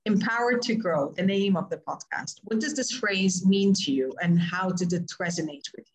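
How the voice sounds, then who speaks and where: A woman's voice: medium pitch, moderate pace, poor audio. A woman hosting a podcast, asking open questions and affirming her guest. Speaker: podcast host. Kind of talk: interview questions.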